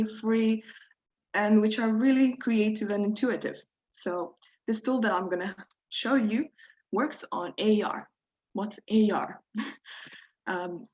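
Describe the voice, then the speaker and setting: A woman's voice: a little high, dull audio. Woman teaching a live workshop. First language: Croatian. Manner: friendly, explanatory, encouraging, occasional small grammar slips. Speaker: workshop leader. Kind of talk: live online tutorial.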